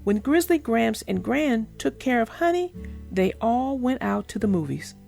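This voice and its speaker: Expressive, high-pitched woman storyteller. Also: normal speed, creaky, soft background music. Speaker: children's storybook narrator and host. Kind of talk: read-aloud storytelling and explaining to children.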